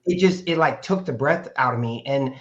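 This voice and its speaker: Guest, male, 50s, raspy and a bit hoarse, rambling and casual, dull lo-fi sound. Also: mid-range voice, moderate pace. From Portland, Oregon, USA. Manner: rambling with frequent fillers and restarts. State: hoarse from speaking over the weekend. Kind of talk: casual conversational storytelling. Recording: dull lo-fi audio.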